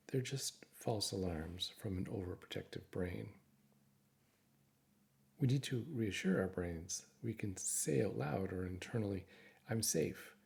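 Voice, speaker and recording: A man narrating a guided meditation in a gentle, reassuring, instructive manner. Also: on the low side, gravelly.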